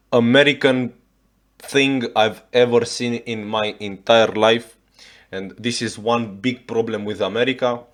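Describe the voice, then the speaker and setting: A man from Romania speaking English with a Romanian accent as a reaction video host, swearing often and restarting his sentences as he rants in exasperation. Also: mid-range voice. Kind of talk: ranting reaction commentary.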